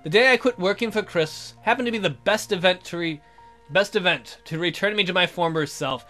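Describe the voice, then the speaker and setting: A man's voice: sits high, fairly quick, sharp tone, faint music behind. A man hosting a gaming video, reading visual novel narration aloud in a theatrical storytelling manner.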